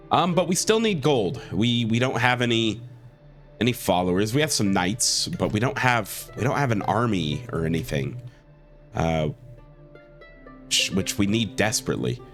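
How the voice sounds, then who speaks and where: Sick, low-voiced gaming host. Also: male voice, lively intonation, normal speed, pauses often, fairly bright tone, soft background music. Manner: easygoing, with many "uh" and "um" fillers. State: sick with a cold. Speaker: let's play host. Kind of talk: gameplay commentary.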